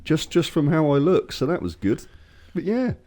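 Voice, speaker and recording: An English man co-hosting a casual podcast, swearing freely and telling lively stories while getting over a cold. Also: a little high, normal speed. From England. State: recovering from a cold. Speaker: podcast co-host. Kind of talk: casual chat.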